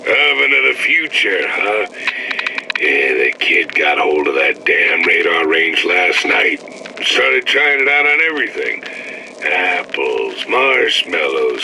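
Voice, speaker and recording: A weary, gruff family man speaks in a deep, gravelly voice whose pitch swings widely. He talks slowly, and a steady hiss runs under the game audio log. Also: heavily acted, low-quality recording.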